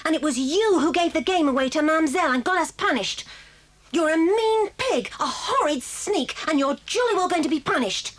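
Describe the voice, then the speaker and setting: A woman's voice: high voice, expressive, moderate pace, a bit bright. An actress playing an indignant, plucky schoolgirl in an audio drama, scolding and emphatic. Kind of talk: 1980s audio drama acting.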